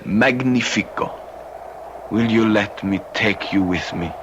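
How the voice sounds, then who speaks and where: A man's voice: lowish voice, takes it slowly, muffled recording. A man playing an intense, shy, embittered Spanish teenage boy in an old-time radio drama, with a Spanish accent and an earnest, formal way of speaking. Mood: shy, eager. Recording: noisy vintage radio recording.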